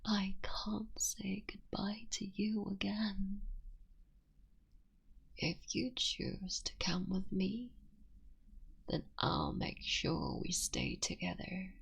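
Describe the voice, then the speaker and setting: An immortal fox witch breathes her lines in a soft, intimate whisper, voiced by a woman. She speaks very slowly in a lowish, gravelly, breathy tone. She is a devoted, tender wife in an ASMR roleplay. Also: sing-song, exaggerated voice. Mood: tender, wistful.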